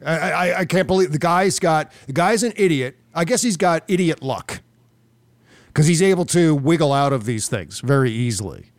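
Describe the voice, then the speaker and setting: A man's voice: fairly high pitch, lively intonation, normal speed, dry recording. An American man hosting a podcast, giving casual, emphatic political commentary and repeating phrases for effect. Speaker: podcast host.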